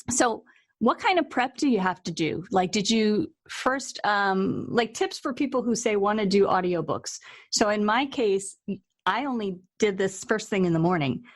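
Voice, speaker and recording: A woman hosting a casual interview, speaking in an informal, chatty way. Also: mid-range voice. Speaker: interviewer and host.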